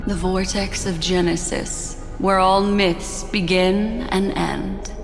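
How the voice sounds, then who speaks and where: A slow, composed woman. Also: medium pitch, vocal fry, soft background music. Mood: calm. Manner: calm, deliberate, lofty. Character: calm, composed woman expedition member. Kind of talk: game voice acting.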